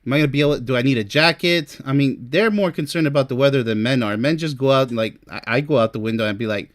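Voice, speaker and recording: A man's voice: mid-range voice, quick pace, no room sound. A man giving informal relationship advice as a host, chatty and emphatic, speaking straight to the listener. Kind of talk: informal advice talk to camera, ranking topics.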